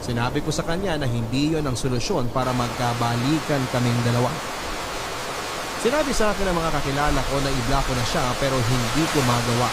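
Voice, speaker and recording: A man narrating a scripted first-person love story for a radio drama. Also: medium pitch. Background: loud music.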